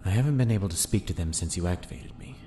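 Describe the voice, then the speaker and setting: Guy voicing a cold low-voiced infiltrator, slow and careful, music going behind. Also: animated. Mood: guarded. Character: cold infiltrator soldier, man, secretive. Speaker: voice actor in fan audio drama.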